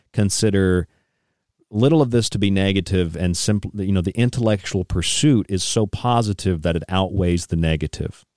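Deep-voiced man of thirty, closely recorded. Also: a little mellow, no room sound, clean studio sound. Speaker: radio show host. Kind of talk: radio interview with long reflective questions.

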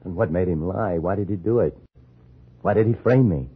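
A man's voice: low-pitched, normal speed, husky. An American actor playing a tense, dogged man on the run in a 1950s radio thriller. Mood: urgent, demanding. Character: tense hunted man, framed for murder, urgent and dogged. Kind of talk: 1950s radio thriller acting and first-person narration. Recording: muffled old radio broadcast with music.

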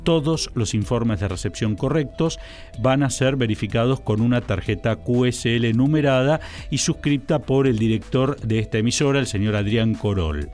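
A man with an Argentine accent hosting a radio talk show from a studio microphone, speaking in a formal, measured announcer manner. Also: mid-range voice, darkish tone, faint music behind. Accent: Argentine Spanish. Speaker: radio host.

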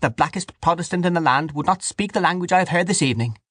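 A man voicing a devout, hot-tempered woman in an audiobook novel, loud, righteous and indignant. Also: fairly high pitch, fast talker, no echo, clean studio sound, pitched above the performer's own voice. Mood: hot, defiant.

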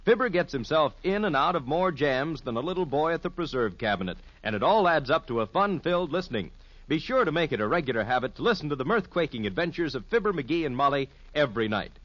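Animated American male radio promo announcer. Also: fairly high pitch, quick pace, dry recording. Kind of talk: 1950s radio advertising read. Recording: dry, muffled old radio broadcast.

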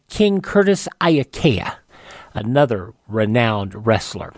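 Male radio host, slightly high voice, announcer-style almanac read, stresses names, close and dry studio mic. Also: no room sound. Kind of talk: scripted radio almanac narration.

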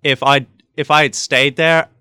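A man's voice: fairly high pitch, little pitch movement, a little slow, bright, forceful tone, clean tone, dry recording, studio quality. Hesitant young man from England. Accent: Midwestern American, can switch to English. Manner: hesitant, many fillers and restarts. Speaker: intern. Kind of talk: casual chat.

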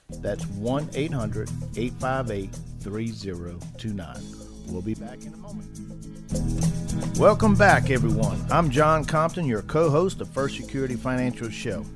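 Man co-hosting a radio show. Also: mid-range voice. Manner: reads out contact details slowly and clearly. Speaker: radio co-host. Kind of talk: radio announcing.

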